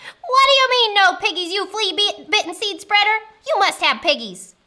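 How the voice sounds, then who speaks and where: A greedy, bossy witch from a children's storybook, shrieking very high in a sharp, forceful voice. She hurls insults and demands with wild swoops of pitch. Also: sing-song, normal speed, heavily acted. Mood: furious, demanding. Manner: screeching, shouting, bossy, insulting. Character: greedy, hot-tempered cackling witch hunting pigs.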